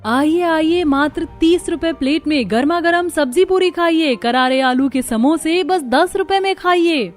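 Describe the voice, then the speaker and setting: A young village daughter-in-law voiced by a woman, respectful, hardworking and hopeful. Her cartoon voice is fairly high, with music behind it. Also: unhurried, few pauses. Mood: hawking brightly. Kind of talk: Hindi moral-story cartoon dialogue.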